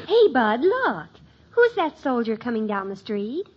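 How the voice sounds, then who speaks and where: Excitable teenage daughter, American, dramatic, unhurried pace, 1950s radio sitcom, muffled old recording. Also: female voice, on the high side, very noisy. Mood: dreamy, teasing. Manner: gushing, dramatic. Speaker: actor in a family situation comedy.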